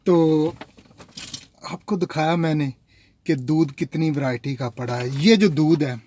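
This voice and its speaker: Chatty man vlogging, slightly high voice. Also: unhurried, mellow, slight background noise. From Pakistan. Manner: chatty, rambling, addresses viewers as nazreen. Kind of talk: casual vlog talk to viewers.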